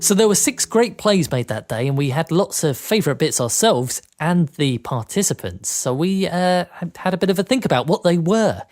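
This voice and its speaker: A man's voice: a little high, expressive, normal speed, hardly any pauses, a little mellow. A man co-hosting a podcast in a scripted presenting style. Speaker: podcast co-host.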